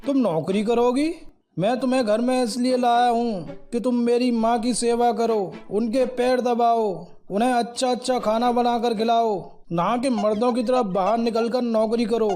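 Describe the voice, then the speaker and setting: A man's voice: very high voice, fairly even intonation, normal speed, dark tone, soft background music. A man voicing an earnest young husband devoted to his mother in a moral story. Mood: indignant. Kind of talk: animated Hindi moral story dialogue.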